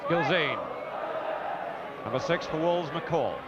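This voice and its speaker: A man's voice: on the high side, takes it slowly. A man giving live 1970s television football commentary in a terse, clipped manner. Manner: terse, clipped naming of players. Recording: dull 1970s broadcast, loud crowd noise. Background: loud stadium crowd.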